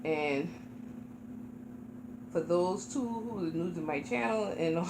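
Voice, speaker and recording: A woman's voice: lowish voice, slow. Woman, 40s, chatty doll vlogger, reverberant room with household noise. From Charleston, South Carolina. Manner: chatty, rambling, informal. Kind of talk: casual chat to camera. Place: home room. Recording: echoey room with background noise.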